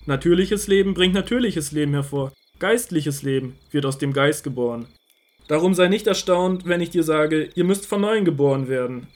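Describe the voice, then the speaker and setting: A man acting as an earnest male teacher in a biblical audio drama. His voice is fairly high for a man. He speaks with authority, setting out each statement in a measured, emphatic way. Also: darkish tone, natural voice. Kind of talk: dramatized scripture audio play.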